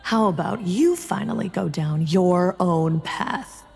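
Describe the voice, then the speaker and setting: Creepy mocking witch spirit, slow gravelly voice, long echo, game music underneath. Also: lowish voice, animated, a little mellow, heavily acted. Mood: taunting. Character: mocking female witch spirit, cruel and taunting. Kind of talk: video game voice acting.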